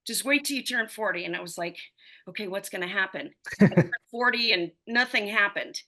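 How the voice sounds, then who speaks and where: A woman in her fifties talks as a podcast guest, winding through her story with plenty of fillers and restarts. Her voice has a bright, forward edge, sitting at an ordinary pitch for a woman. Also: fairly quick. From United States. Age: 50s. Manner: rambling storytelling with many fillers. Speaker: running coach and physical therapist. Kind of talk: conversational podcast interview.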